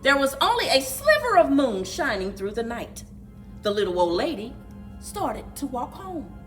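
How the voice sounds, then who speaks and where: A woman reading aloud as a library story time host, with expressive, dramatic, deliberate children's narration and playful sound words. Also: sits high, faint music behind.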